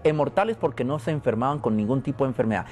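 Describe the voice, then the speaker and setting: A man's voice: medium pitch, animated, rapid-fire, a little mellow. Man preaching in a solemn cadence. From Venezuela. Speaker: interviewee. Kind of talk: spiritual and prophetic talk.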